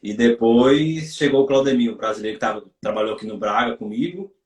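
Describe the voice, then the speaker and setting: A man's voice: medium pitch, brisk, a little mellow, distant mic. A Brazilian footballer chats loosely in an interview, circling back and restarting his sentences. His voice sounds roomy and echoing. He sits well back from the mic, as on a video call. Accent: Brazilian Portuguese. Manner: rambling, repeats himself, many restarts. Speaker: footballer guest. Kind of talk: casual interview over a live stream.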